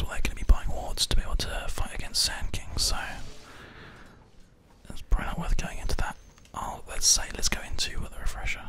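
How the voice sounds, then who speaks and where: Whispering man doing ASMR game commentary. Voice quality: breathy unvoiced whisper. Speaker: gaming video host. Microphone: close binaural microphone. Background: keyboard typing and mouse clicks.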